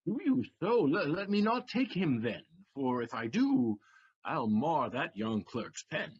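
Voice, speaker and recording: Husky, swooping brash young husband acted by a man. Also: mid-range voice, big pitch swings, a little slow, dull audio. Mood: blustering, bawdy. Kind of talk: Shakespearean audio-play acting.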